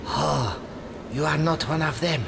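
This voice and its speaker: An actor playing a solemn, guarded cult follower in a video game, speaking portentously. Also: male voice, fairly high pitch, vocal fry, background noise. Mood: weary, awed. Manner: slow, portentous. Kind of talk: video game cutscene acting.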